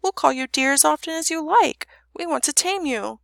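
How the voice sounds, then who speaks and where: Woman as a sweet, high-voiced young princess. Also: animated, moderate pace, creaky, a bit bright, no room sound, clean studio sound, heavily acted. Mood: tender. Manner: sweet, tender. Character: young princess, sweet, coaxing, timidly brave.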